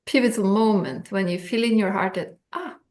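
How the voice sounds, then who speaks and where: A woman's voice: medium pitch, moderate pace, a little mellow. A woman from Norway, a guest on a video call, telling her story in a thoughtful, hesitant way. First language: Norwegian. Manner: thoughtful, hesitant, many ums. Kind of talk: reflective storytelling interview.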